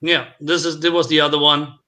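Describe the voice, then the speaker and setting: A man's voice: fairly high pitch, little pitch movement, brisk, sharp tone. A man giving his opinions as a panelist in a casual, informal livestream chat about films. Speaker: panelist on a film discussion livestream.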